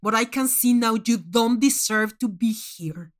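A woman narrator puts on a monstrous male judge from an audio story. The delivery is loud, slow and scathing, hammering each phrase with furious contempt. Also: fairly high pitch, natural voice. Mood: cold disappointment. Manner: scathing, shouting, emphatic.

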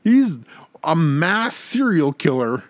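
A man's voice: fairly high pitch, big pitch swings, takes it slowly, no echo. A man co-hosting a podcast in casual, opinionated chat, making points emphatically and repeating words for stress. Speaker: podcast co-host.

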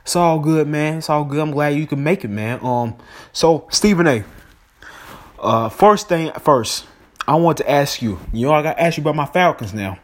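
A man's voice: on the high side, animated, normal speed. A man hosting a casual podcast interview, upbeat and friendly with his guest. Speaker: podcast host.

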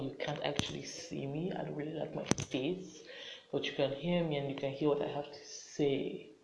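Nigerian teen boy rambling on his podcast, bit tired, kinda high voice. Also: a bit bright, low-quality recording. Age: teens. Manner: chatty, rambling, self-mocking asides. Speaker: podcast host. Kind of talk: casual solo podcast, get-to-know-me questions.

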